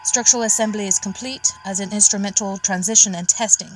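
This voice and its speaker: A woman's voice: medium pitch, fairly even intonation, brisk, slight background noise, close to an everyday voice, rough recording. A woman playing an eager, rule-bending chief engineer aboard a starship in a science fiction audio drama. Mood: reporting, businesslike.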